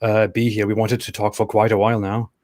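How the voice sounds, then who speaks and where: Flat-voiced German-raised man. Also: on the low side, a crowd in the background. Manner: measured, hesitant with filler "um"s and restarts. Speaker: guest. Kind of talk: interview storytelling.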